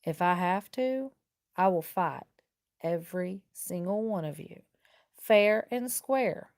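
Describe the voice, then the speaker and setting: A woman voicing a calm, resolute, righteous hero in a storybook, speaking firmly with slow emphasis. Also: on the low side. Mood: calm, determined. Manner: firm, slow, emphatic delivery.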